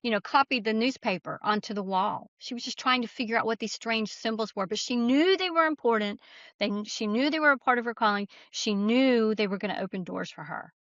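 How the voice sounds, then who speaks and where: A woman's voice: on the high side, brisk, a bit bright, no echo. A woman talking as an author guest in a conversational, plainspoken, folksy way, on slightly lo-fi audio. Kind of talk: conversational interview.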